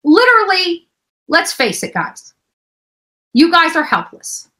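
A woman's voice: very high-pitched, sing-song, slow pace, sharp tone. A middle-aged woman on a home livestream, ranting casually in a brash, profane, emphatic way. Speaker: livestream host. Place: small indoor room at home.

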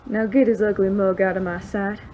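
A woman's voice: medium pitch, fairly even intonation, brisk, warm tone, a little hiss or hum, natural voice, low-quality recording. A woman playing a callous, dismissive outlaw gang boss in a western audio drama. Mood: callous amusement. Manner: menacing, dismissive. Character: cold, callous male outlaw gang boss, former sheriff.

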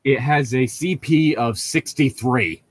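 A man's voice: mid-range voice, measured pace, husky, no echo. A man co-hosting a podcast in casual banter, with a sardonic, sweary way of talking. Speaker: podcast co-host.

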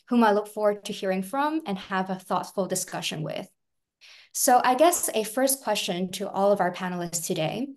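A young woman from Hong Kong in her twenties, moderating an online panel and reading a prepared introduction over a video call. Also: mid-range voice. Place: indoors at home, video call. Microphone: video call webcam.

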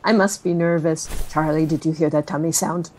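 A woman's voice: low-pitched, fairly even intonation, brisk, darkish tone. An actress playing a chatty, nervous woman in her forties in an audio drama, rambling through family memories with sighs and self-corrections. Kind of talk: interviewee in a found-footage audio drama.

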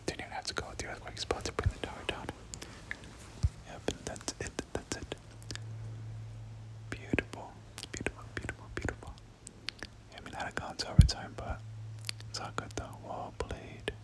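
A gaming creator giving ASMR game commentary in a breathy whisper, often repeating words in soft rhythmic runs. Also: moderate pace, long pauses, rough recording.

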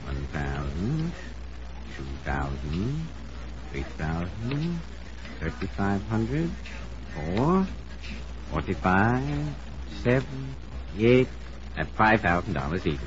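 An actor playing a careful, courteous bank teller in an old-time radio drama, speaking precisely and politely. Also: male voice, on the low side, sing-song, slow pace, long pauses. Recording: muffled old radio recording.

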